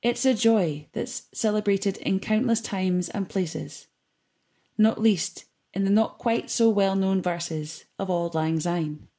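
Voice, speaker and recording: A woman reading a scripted, reflective piece with poetry for a community gathering in a measured, earnest way. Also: mid-range voice, dark tone.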